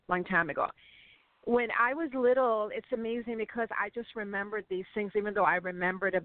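A woman in her fifties from Iran, with an Iranian accent, telling her story as a call-in radio guest, halting with many ums and restarts. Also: fairly low pitch, dry recording. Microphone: phone. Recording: tinny phone line.

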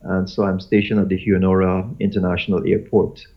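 A man in his fifties from Guyana, talking as an interview guest in a halting way with many fillers and restarts. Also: low voice, little pitch movement, breathy edge. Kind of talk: casual interview chat. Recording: dull lo-fi audio.

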